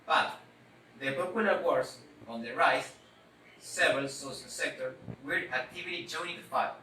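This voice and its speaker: A man with a bright, medium-pitched voice gives a halting scripted presentation in non-native English. He sounds distant in an echoey room. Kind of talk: scripted presentation read aloud. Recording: echoey, slightly noisy room, distant mic.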